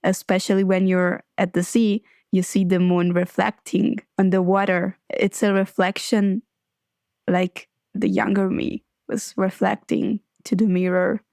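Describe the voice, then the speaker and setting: A young woman in her twenties whose first language is Italian, speaking as a guest poet in a measured, earnest way. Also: medium pitch, little pitch movement, creaky, a little mellow, no room sound, clean studio sound. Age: 20s.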